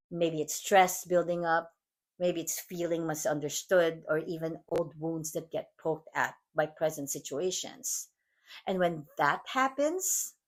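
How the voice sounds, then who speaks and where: A woman from the Philippines with a Filipino accent, hosting her podcast in an earnest, measured, reflective monologue. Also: low-pitched. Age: adult mom. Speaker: podcast host. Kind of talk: scripted motivational monologue.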